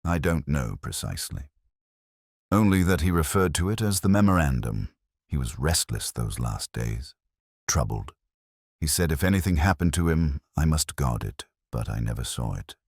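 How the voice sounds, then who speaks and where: A man's voice: rumbling low, animated, moderate pace, husky, dry recording, clean studio sound, full character voice. A man voicing a tense, guarded society widow, a frightened woman, in an audiobook. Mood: urgent, guarded. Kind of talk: audiobook character dialogue.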